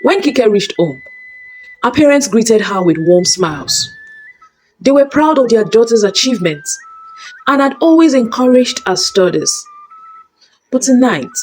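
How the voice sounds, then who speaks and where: A woman narrating a scripted dramatic story in a measured, deliberate storytelling manner. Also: fairly high pitch, darkish tone, a little hiss or hum, poor audio.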